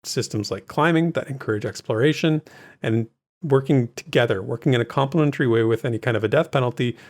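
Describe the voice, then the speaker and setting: A man co-hosting a gaming news recap, explaining things in a measured, conversational way with frequent asides. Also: mid-range voice. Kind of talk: casual podcast discussion of game news.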